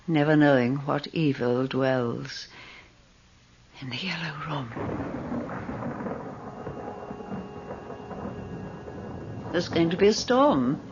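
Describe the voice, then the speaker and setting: Commanding old gentlewoman for radio drama: deep-pitched, sweeping theatrical delivery, sly and imperious. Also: gravelly, full character voice. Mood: grave, confiding. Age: older. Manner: theatrical, commanding, brisk dismissals. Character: imperious, devout old wealthy widow, sly and curious. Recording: dull, noisy old radio recording.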